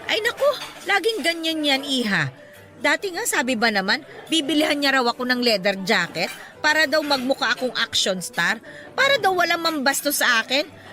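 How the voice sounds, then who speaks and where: An older mother in a radio drama, doting and full of teasing. The voice is high and bright, swinging expressively through exclamations. Soft music sits underneath. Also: very animated, moderate pace, heavily acted. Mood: teasing, amused.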